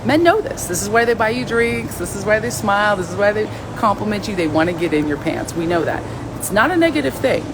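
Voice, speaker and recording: A woman with a raspy voice hosting a live stream, chatting casually and reading viewer comments in a blunt, outspoken, playful way. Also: mid-range voice, lively intonation, a little fast, noisy background.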